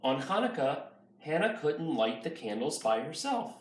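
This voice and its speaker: A man's voice: on the high side, very animated, moderate pace. A man reading a children's picture book aloud as a nursery school teacher. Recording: roomy, far from mic, faint noise.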